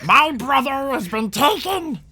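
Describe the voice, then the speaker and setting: A man's voice: extremely high pitch, big pitch swings, a little slow, bright, forceful tone, husky, voice pushed up, full character voice. A man voicing a scrappy, impatient goblin leader in a tabletop game, giving orders in a slangy way. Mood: urgent, commanding.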